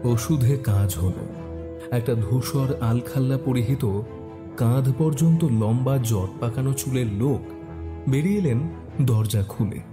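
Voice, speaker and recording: A man narrates a scripted detective story in the first person. His voice sits at a medium pitch, with a prominent music bed running behind it. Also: measured pace.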